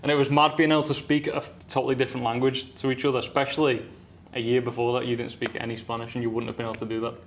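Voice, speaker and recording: A man hosting a podcast rattles along at a fast clip. His voice sits at an ordinary pitch, and he is eager, chatty and slangy. Faint ambience hangs behind him. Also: flat delivery, a little hiss or hum. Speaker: podcast host. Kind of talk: casual chat.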